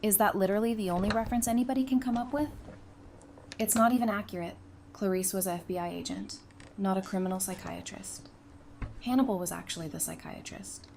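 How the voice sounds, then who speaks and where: A woman acting a quick-witted teacher in an audio drama. She is conversational and wry, with a middling pitch and slight noise in the background. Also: steady tone, creaky, a little mellow, close to an everyday voice. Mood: wry, teasing. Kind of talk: fan audio drama dialogue.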